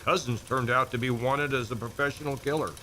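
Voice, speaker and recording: An actor playing a dry-witted police inspector in a community radio play. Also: male voice, mid-range voice, steady tone, fairly quick, pushed and bright, slight background noise, close to an everyday voice. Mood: wry. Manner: firm and dryly amused.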